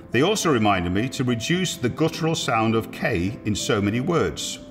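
A man's voice: medium pitch, in a large hall. Older man steadily reading his memoir. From Liverpool, England. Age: 80s. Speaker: army veteran. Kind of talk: reading a personal memoir aloud. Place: indoor museum room with military equipment.

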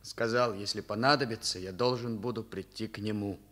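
Man acting an anguished, halting young schoolboy. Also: lowish voice, steady tone, fairly bright tone, natural voice. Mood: anguished confessing. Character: distraught, ashamed schoolboy confessing to theft accomplice role. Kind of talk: Soviet radio drama acting.

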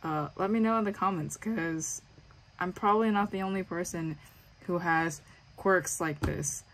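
Young woman vlogger, low-pitched, chatty and self-aware, bedroom laptop-cam setup. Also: a little slow, slight background noise, low-quality recording. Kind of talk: casual vlog talk to camera. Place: bedroom with bunk beds, indoors.

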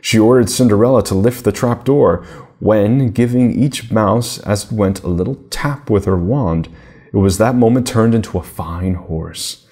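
A middle-aged man narrating a children's fairy tale in a measured, deliberate manner. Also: lowish voice, warm tone. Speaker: storybook reader.

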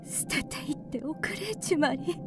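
An actress playing a weary, tender, dying mother with a whispery, breathy voice in a radio drama. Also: high voice, expressive, normal speed. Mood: weak, tender. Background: music.

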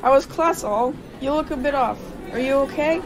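High-voiced, earnest teenage boy acted by a woman. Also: normal speed, rough recording. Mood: concerned. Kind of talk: amateur radio play acting.

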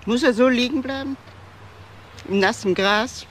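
A woman's voice: a little high, a little slow, slight background noise, natural voice. An actress playing a bereaved, commanding older mother in a crime radio drama, speaking in short questions and firm sentences. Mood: stunned, grieving.

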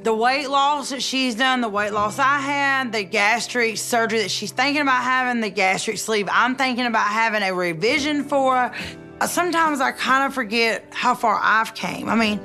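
A woman's voice: a little high, normal speed, bright, forceful tone. A woman, talking casually and bluntly about weight loss in a reality show. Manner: chatty, blunt, filler-heavy. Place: salon and living room interviews. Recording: background music under voice.

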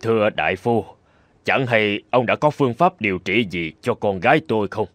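A man's voice: fairly low pitch, expressive, normal speed, fairly bright tone, soft background music. A man voicing a wealthy, worried father in a fairy-tale cartoon, formal and emphatic. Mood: hopeful. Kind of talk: cartoon dubbing.

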